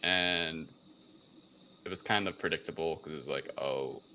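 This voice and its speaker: Bright-voiced, low-pitched man recapping casually. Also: moderate pace, a little hiss or hum. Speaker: podcast co-host. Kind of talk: casual film recap chat.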